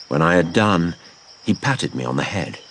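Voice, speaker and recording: A man's voice: low-pitched, moderate pace, a little hiss or hum. A man playing a grown adventurer narrating his boyhood tale formally in an audio drama. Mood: reflective. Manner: formal, literary, expressive. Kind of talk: dramatised first-person narration.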